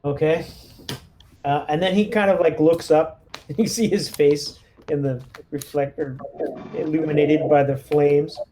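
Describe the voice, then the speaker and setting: A man's voice: fairly high pitch, steady tone, unhurried, dark tone, a little hiss or hum. A man playing a tabletop roleplaying game, casually narrating his character's moves and chatting at the table.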